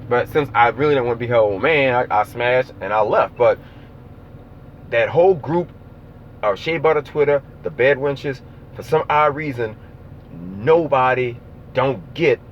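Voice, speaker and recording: An American man delivers a casual, opinionated vlog rant in a middle-pitched voice. His talk is blunt, slangy and full of swearing. The sound is muffled and lo-fi, with faint vehicle and outdoor noise behind him. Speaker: opinion vlogger.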